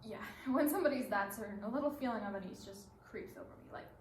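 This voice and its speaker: A woman's voice: on the high side, fast, lightly acted. A woman performing in a readers theatre piece with rehearsed, theatrical delivery. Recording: roomy stage recording with background hiss.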